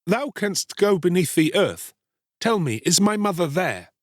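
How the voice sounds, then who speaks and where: A male narrator plays a proud young boy from a fairy tale. His pitch sits high and moves widely, and his words are archaic and formal. The recording is close and dry. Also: very animated, a little slow, dry recording, heavily acted. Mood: remorseful, earnest. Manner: archaic, formal storybook speech. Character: proud, scornful young boy, cruel then remorseful.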